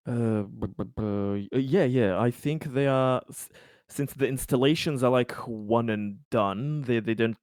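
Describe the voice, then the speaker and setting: A man's voice: medium pitch, a little mellow, dry recording, studio quality. Stammering man playing a game. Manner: halting, stammers and restarts. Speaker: player. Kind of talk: casual game chat.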